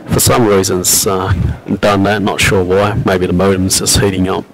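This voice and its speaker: A man with an Australian accent and a robust, loud voice, giving relaxed, chatty live commentary on a pool match with frequent fillers. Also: deep voice, moderate pace, noisy background. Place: venue at a pool championship.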